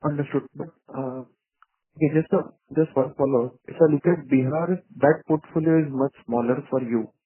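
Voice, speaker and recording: A man's voice: mid-range voice, normal speed, husky, no echo. A man putting methodical questions as an analyst on an earnings call. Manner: methodical, enumerates points. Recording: dry, narrow-band phone line.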